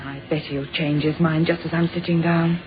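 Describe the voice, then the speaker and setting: An actress playing a brisk, wry middle-aged housewife in a radio drama. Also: female voice, deep voice, flat delivery, natural voice. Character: brisk, wry British housewife, superintendent's wife. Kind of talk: British radio drama acting. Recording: dull, noisy old radio recording.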